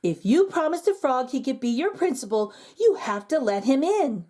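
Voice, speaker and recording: A woman voicing a flustered school leader who speaks in a high, exclaiming teacher tone. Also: sing-song, moderate pace, mellow. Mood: firm. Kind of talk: storybook character voice.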